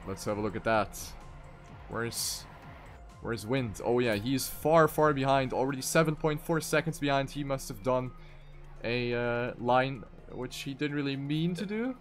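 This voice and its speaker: A man's voice: mid-range voice, measured pace, soft background music. A man casting an online racing game tournament live, giving play-by-play that jumps up on crashes and mistakes. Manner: excitable play-by-play, rising sharply on crashes. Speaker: esports caster.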